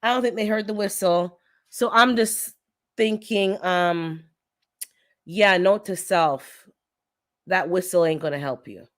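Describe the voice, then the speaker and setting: A woman's voice: lowish voice, takes it slowly, pauses often. A woman hosting a casual gardening livestream, a bit stuffy and congested, chatting and laughing as she tells stories and greets viewers. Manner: chatty storyteller, laughs often, greets chat by name. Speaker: gardening channel host.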